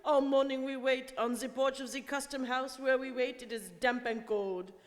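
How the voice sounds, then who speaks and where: A man acts a loyal French manservant in a radio play. The French-accented voice is high, anxious and dramatic, heard in a slightly reverberant hall. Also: fairly even intonation, moderate pace. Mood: distraught. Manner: plaintive, dramatic. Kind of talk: stage radio-drama acting. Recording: hall reverb, community studio performance.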